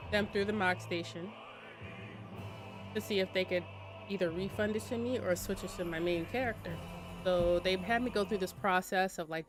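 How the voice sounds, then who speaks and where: Chatty streamer woman with a cold. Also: lowish voice. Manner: chatty, rambling, self-mocking asides. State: slight cold, sniffling. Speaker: variety game streamer. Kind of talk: casual live-stream chat while gaming.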